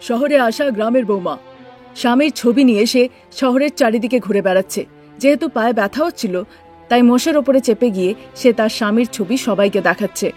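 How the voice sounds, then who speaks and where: A woman's voice: on the high side, fairly quick, darkish tone, faint music behind, close to an everyday voice. A woman voicing a formal TV news anchor announcing breaking news in a cartoon.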